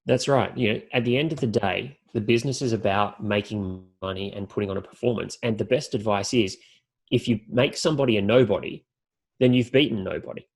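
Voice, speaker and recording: A man's voice: lowish voice, fairly quick, creaky, husky. An Australian man speaking as a podcast guest and announcer, explaining things articulately and building his points in lists. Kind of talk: podcast interview talk.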